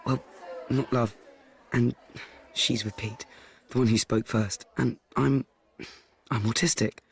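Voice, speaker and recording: Awkward, deadpan teenage boy. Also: mid-range voice, takes it slowly. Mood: deadpan, confiding. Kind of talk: radio play monologue and dialogue.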